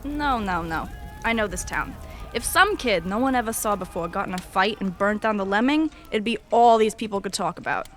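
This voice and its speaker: A woman's voice: medium pitch, normal speed, natural voice. A woman playing a foul-mouthed, streetwise young queen in a fantasy audio drama, blunt, sarcastic and slangy. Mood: wry, sure.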